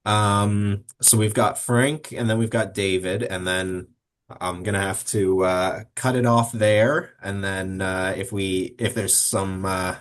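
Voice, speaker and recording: Male moderator, very deep voice, brisk and organizing. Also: steady tone. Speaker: meeting moderator. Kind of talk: moderating a discussion.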